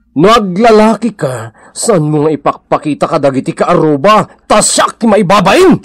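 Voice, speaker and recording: A man's voice: high voice, very animated, measured pace, full character voice. A man with a northern Philippine accent playing a quarrelsome, jealous husband, scolding and scornful in a comedy radio drama.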